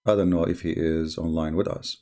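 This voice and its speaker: A man hosting a live broadcast in a casual, halting way, his voice strained and tired. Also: rumbling low, steady tone, dark tone. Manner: halting, reads viewer messages aloud slowly. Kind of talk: casual live-stream talk.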